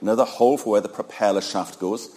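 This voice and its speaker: A man's voice: fairly low pitch, quick pace, warm tone. Chatty male preacher with fillers. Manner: conversational, with frequent fillers. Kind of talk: sermon.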